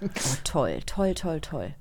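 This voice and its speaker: A woman's voice: sits low, sing-song, slow pace, a little mellow. A woman co-hosting a podcast in casual conversation. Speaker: podcast co-host. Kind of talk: casual chat.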